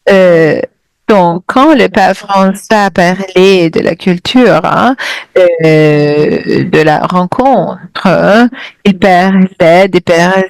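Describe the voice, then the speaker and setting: Slow, hesitant woman interpreting. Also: lowish voice, creaky, a little mellow, dry recording. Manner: hesitant, full of fillers and restarts. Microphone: video call.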